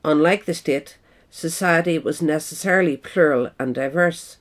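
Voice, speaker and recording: A man reading a scripted essay in a measured, formal manner. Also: a little high, a bit bright. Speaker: reader.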